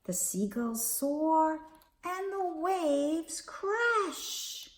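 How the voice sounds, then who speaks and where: A woman hosting a children's storytime, reading aloud and leading counting rhymes in a slow, expressive, sing-song way. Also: high voice. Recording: echoey room with faint background hiss.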